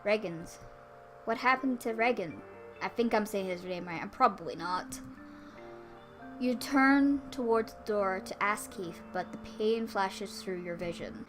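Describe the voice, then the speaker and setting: A woman's voice: mid-range voice, unhurried, soft background music. A woman reading a visual novel aloud as a let's play host, dropping in casual asides. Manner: informal asides between read passages.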